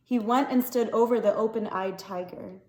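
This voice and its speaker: Higher-voiced woman reading aloud, echoey room. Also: moderate pace, a little mellow. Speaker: story reader. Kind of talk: expressive read-aloud narration of a novel.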